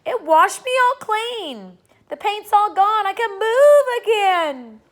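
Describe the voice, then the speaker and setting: Brief: a woman playing a cocky centipede, high and loud, bursting with glee, storybook. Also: takes it slowly, full character voice. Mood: gleeful. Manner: excited, shrill, sing-song. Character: boastful excitable male centipede.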